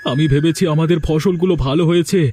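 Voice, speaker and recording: A man's voice: on the high side, steady tone, fast talker, a little mellow, a little hiss or hum, close to an everyday voice. A man voicing a worried, despairing village farmer and father in a cartoon, speaking in a plaintive, lamenting way. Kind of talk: Bengali cartoon dialogue dubbing.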